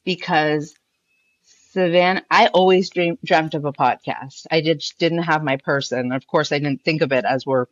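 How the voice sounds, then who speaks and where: A woman's voice: sits low, fairly even intonation, normal speed, no echo, lo-fi sound. A woman co-hosting a podcast, casually recollecting and explaining as an interview guest. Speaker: podcast co-host being interviewed.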